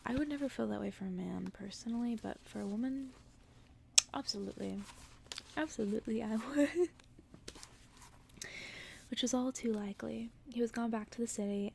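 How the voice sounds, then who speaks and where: Female ASMR storyteller: gentle breathy whisper, dark soft tone, strong creak, slight background noise. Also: medium pitch. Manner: hushed, gentle storytelling with casual asides. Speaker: ASMR host reading a storybook aloud. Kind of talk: ASMR bedtime story reading.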